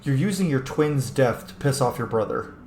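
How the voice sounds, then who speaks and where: A man's voice: mid-range voice, lively intonation, normal speed, darkish tone. A man giving casual, emotionally involved commentary as he plays a role-playing video game. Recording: roomy echo, faint background noise.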